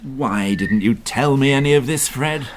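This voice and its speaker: An actor playing an authoritative police inspector in a radio drama, firm, probing and formally polite. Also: male voice, mid-range voice, a little slow, a little hiss or hum, natural voice. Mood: terse.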